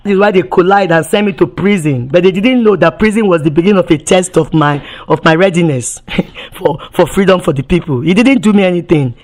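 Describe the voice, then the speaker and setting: A woman's voice: lowish voice, fairly quick, a little mellow. A woman activist giving impassioned testimony, emphatic, often repeating and restarting words and firing off rhetorical questions.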